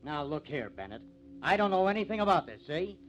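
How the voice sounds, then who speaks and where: A man's voice: on the high side, big pitch swings, a little fast, a bit bright, faint music behind. An actor playing a bitter, hot-headed young man, sneering and combative, in 1950s radio drama style. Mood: bitter, scornful. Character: bitter, hot-headed young farmer's son, contemptuous of lawmen. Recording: muffled, noisy old radio recording.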